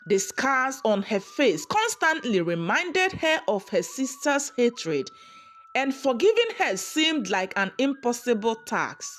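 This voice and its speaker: Woman reading a folktale, really slow, voice goes up and down a lot. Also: on the high side, fairly bright tone. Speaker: storyteller. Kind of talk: scripted folktale narration.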